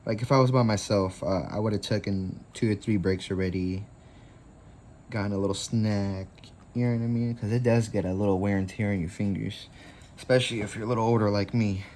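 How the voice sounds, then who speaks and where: A man's voice: on the low side, steady tone, a little fast, a little mellow, a little hiss or hum. A man in his twenties hosting a casual craft tutorial, rambling easily with lots of fillers. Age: 20s.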